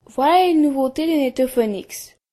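A man's voice: very high-pitched, animated, normal speed, dark tone, pitched above the performer's own voice. A man voicing a prim female receptionist, speaking with polite, clipped formality.

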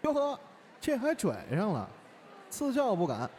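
A man's voice: high-pitched, wildly swooping pitch, unhurried, darkish tone, full character voice. An actor playing a young crosstalk straight man in an audio drama, caring but wry and needling. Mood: wry disbelief. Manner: wry, reactive. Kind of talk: audio drama acting with stage patter. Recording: noisy ambience behind the voice.

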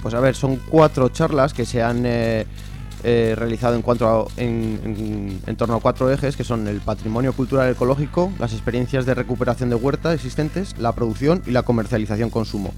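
Guy from spain on a radio interview, medium pitch, lots of ums, faint music behind. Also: brisk, darkish tone. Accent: Spanish (Spain). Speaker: interview guest, activist. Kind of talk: spontaneous interview talk.

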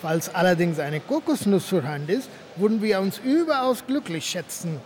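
An actor playing a learned, self-important Tamil gentleman in a radio play, speaking politely in florid, pompous phrases. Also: high voice, animated, normal speed. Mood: courteous. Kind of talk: German radio play acting.

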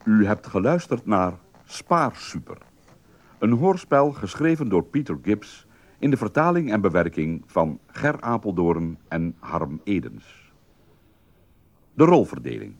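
A man announcing radio drama credits in a formal, deliberate scripted style. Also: lowish voice, darkish tone.

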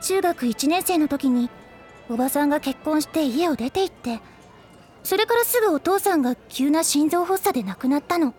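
A high-voiced gentle schoolgirl. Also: animated, moderate pace, faint music behind. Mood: worried. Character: gentle teenage schoolgirl, former classmate. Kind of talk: anime drama CD acting.

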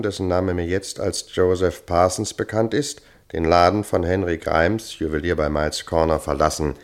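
An actor playing a seasoned, matter-of-fact police sergeant in a German crime radio play, measured, dryly cynical and authoritative. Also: male voice, low voice. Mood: reading out formally.

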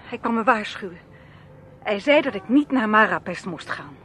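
A woman's voice: medium pitch, animated, moderate pace, a bit bright, natural voice. An actress playing an anxious, earnest young woman in a radio play, speaking hesitantly. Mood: shaken, earnest. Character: Linda West, anxious young woman seeking her brother. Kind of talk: Dutch radio play acting. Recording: noisy old radio play recording.

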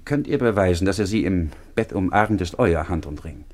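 An actor playing a proud, honourable young husband in a classic radio drama, formal and declamatory. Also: male voice, deep voice, animated, normal speed, darkish tone. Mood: stern, challenging.

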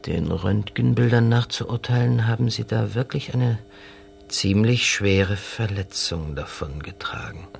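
An actor playing a gentle, attentive doctor in a German radio drama, soothing and careful. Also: male voice, lowish voice, measured pace, vocal fry, slight background noise.

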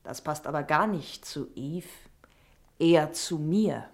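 Blunt, wry woman, lower-pitched. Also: slow. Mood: candid, curious. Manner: blunt, dryly teasing. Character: frank, sharp-tongued, wry working woman, Miss Mortimer. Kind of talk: radio drama acting.

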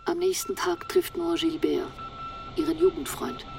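An actress narrating scene changes in a wartime radio play in a scripted style. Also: female voice, very high-pitched, wildly swooping pitch, a little slow, creaky, darkish tone, far from the mic, a little hiss or hum, exaggerated voice.

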